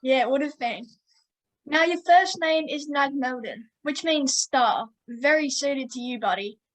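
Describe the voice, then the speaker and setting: A woman's voice: high-pitched, unhurried, poor audio. A woman hosting an interview podcast, upbeat and friendly, asking questions and calling her guest mate. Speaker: podcast host.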